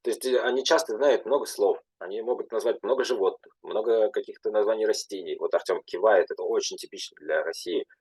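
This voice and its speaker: A young man, a language teacher, speaking at a panel in a halting way with many filler sounds and restarts. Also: fairly low pitch, darkish tone, slightly rough, no echo, poor audio. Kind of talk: panel talk.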